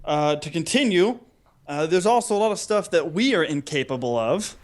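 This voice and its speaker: A man with a fairly high voice co-hosts a casual comedy podcast. He talks in loose, rambling banter and keeps a joking tone as he goes. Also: animated, normal speed. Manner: jokey, rambling. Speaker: podcast co-host.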